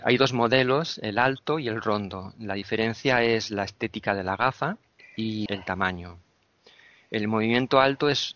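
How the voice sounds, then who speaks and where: A man with a Spain Spanish accent talks through a gadget in a patient, explanatory way, pausing on small "eh" fillers. His voice sits low and has a gravelly rasp. It comes through dull, lo-fi online call audio, close and dry. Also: fairly bright tone, no echo. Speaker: tech meetup member. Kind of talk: casual gadget review over a group call. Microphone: video call.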